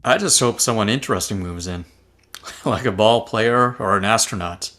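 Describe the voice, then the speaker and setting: A man's voice: lowish voice, normal speed, bright, forceful tone. A man playing a cheerful, wholesome scoutmaster ghost in an amateur table read, earnest and rambling. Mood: chatty. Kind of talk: amateur table read over video call.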